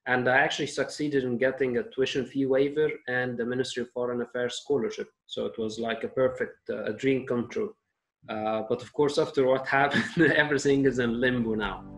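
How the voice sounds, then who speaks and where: A man at a medium pitch answering interview questions earnestly. He speaks fluently, with an occasional "uh" as he goes. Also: fairly even intonation, brisk. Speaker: medical doctor and startup founder.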